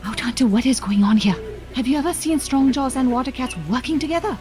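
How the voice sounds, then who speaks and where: A composed, analytical female hunter in a sci-fi audio drama. Her high voice is precise and formal, with music beneath the dialogue. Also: expressive, fast, a little mellow. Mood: questioning, alert. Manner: precise, formal, level-headed. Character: composed, analytical female member of hunting party.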